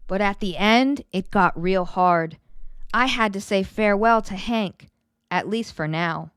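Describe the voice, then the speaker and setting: Female storybook reader, smooth and clean close sound, expressive delivery with stretched, emphasized words. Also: mid-range voice, dry recording. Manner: animated, dramatic storytelling with drawn-out emphasis. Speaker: children's story reader and host. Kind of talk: expressive children's storybook read-aloud.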